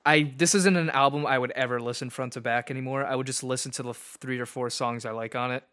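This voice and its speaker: A man's voice: medium pitch, fairly even intonation, fast, a bit bright, dry recording. A young man co-hosting a podcast, chatting casually about music, rambling with lots of "like" and laughing easily. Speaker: podcast co-host.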